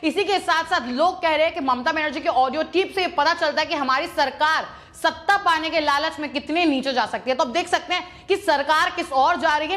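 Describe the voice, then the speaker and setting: Woman, high voice, news commentary, opinionated, emphatic and repetitive delivery. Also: a bit bright. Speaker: news presenter.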